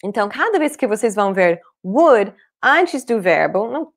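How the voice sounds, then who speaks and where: A woman in her thirties, a native English speaker with a foreign accent in Portuguese, teaching an online language lesson in a clear, deliberate way. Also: medium pitch, big pitch swings. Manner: clear, deliberate explaining with repeated examples. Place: small room indoors, at a desk.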